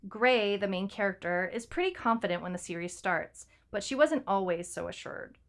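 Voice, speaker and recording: A woman's voice: medium pitch, moderate pace. A woman co-hosting a podcast, talking casually and explaining things in an informal way. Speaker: podcast co-host and fiction writer.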